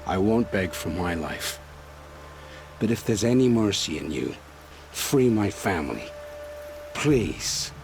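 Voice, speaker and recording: An actor playing a remorseful male traitor in a video game, talking in a slow, haunted, pleading way. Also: sits low, expressive, creaky, darkish tone, slight background noise, full character voice.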